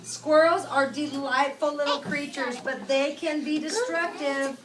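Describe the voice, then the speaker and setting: A woman's voice: sits high, steady tone, unhurried. A woman preschool teacher reading aloud to young children and guiding them with simple, repeated phrases and questions. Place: preschool classroom. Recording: distant mic in noisy children's classroom. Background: loud chatter of young children.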